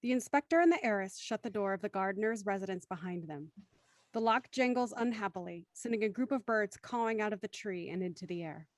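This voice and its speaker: A woman narrates an audio drama from a script, setting out actions and scenes. Her voice has an average female pitch and is recorded very dry, with no room around it. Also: animated, brisk, dry recording.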